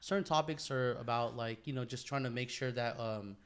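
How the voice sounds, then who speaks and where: A man's voice: medium pitch, moderate pace. A man co-hosting a podcast, talking casually with slang and swearing. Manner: informal, bro-heavy slangy talk. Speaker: podcast co-host.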